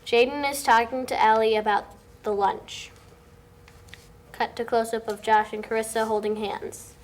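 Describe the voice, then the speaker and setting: High-voiced young woman narrating a script. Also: little pitch movement, moderate pace. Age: young adult. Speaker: stage-direction narrator. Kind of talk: scripted narration, table read. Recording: faint background noise.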